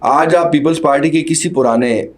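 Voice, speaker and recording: A man's voice: a little high, normal speed. A man with a Pakistani accent answering as a party worker in a political interview, explaining point by point and mixing in English terms.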